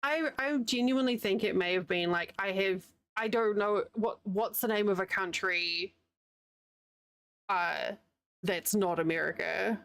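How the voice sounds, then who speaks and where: A woman's voice: medium pitch, measured pace, a bit bright, clean tone, no echo. A woman from New Zealand with a New Zealand accent, chatting casually with her live chat while she crochets, rambling and laughing often. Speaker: streamer. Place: home, living in the United States.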